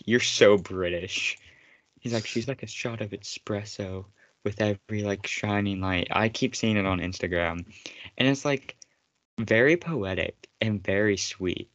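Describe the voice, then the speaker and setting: A man's voice: fairly low pitch, measured pace, a bit bright, no echo. A man co-hosting a casual podcast, rambling and teasing his friend with frequent laughter. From United States. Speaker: podcast co-host. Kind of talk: casual chat.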